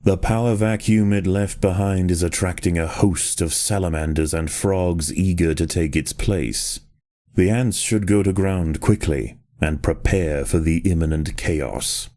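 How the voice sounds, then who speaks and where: A man reading scripted nature documentary narration in a measured, solemn and dramatic manner. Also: deep voice, dark tone. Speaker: documentary-style game narrator.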